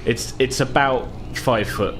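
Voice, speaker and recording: A man running a tabletop game, narrating casually in halting, searching descriptions, with music behind him. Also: mid-range voice. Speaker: game master.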